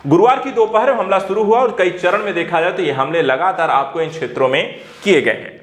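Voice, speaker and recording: A man teaches. He explains current affairs emphatically, circling back over his points like a coaching instructor. The room around him rings with a clear echo. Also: high-pitched, a little fast, fairly bright tone. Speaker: teacher at an exam coaching academy. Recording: echoey room.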